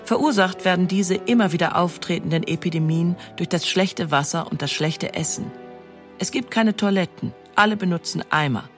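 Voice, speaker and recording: A woman's voice: on the low side, normal speed, faint music behind. A woman giving scripted documentary narration as the narrator of an audio feature.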